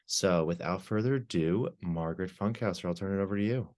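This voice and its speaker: Low-voiced male admissions host; casual, rambling delivery on a video call. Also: brisk. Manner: relaxed, rambling, frequent ums. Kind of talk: hosting a virtual open house.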